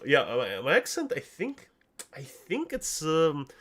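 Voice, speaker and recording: A young man with a light Russian accent talks casually to his stream viewers. His pitch swings widely as he goes. The manner is joking and self-deprecating, full of internet slang. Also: huge pitch swings, measured pace, a bit bright. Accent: slight Russian accent. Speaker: anime commentary streamer. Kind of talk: casual livestream chat, answering viewers.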